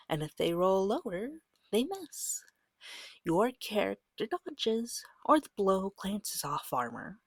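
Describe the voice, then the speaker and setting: A woman speaking softly and breathily, often near a whisper, gently and patiently guiding a beginner as game master in an ASMR roleplay. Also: medium pitch, gravelly, breathy edge, dry recording. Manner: gentle, patient, reassuring, unhurried. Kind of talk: soft-spoken ASMR roleplay tutorial.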